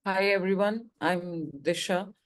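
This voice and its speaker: A woman's voice: lowish voice, fairly even intonation, a little slow. A woman teacher and examiner introducing herself carefully, correcting herself as she goes.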